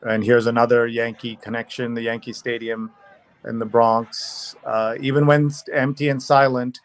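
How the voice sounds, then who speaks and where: A man hosting a casual live review show, talking through a newspaper and reading bits aloud while thinking out loud. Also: mid-range voice, little pitch movement, normal speed, clear, resonant tone.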